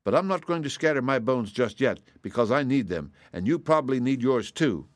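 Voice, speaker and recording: A brave little girl from a storybook, voiced by a man reading aloud, pitched up from his natural register. The child is cheerful and sure of herself, explaining things plainly and reassuring the listener. The sound is dry and close. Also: lively intonation, a little fast, dry recording, lightly acted. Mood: matter-of-fact.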